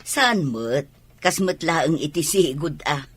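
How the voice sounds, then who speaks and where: A woman's voice: low-pitched, expressive, takes it slowly, slightly rough, heavily acted. A woman with an Ilocano Filipino accent playing a protective, proud middle-aged mother in an audio drama. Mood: defensive, proud. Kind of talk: amateur audio drama acting.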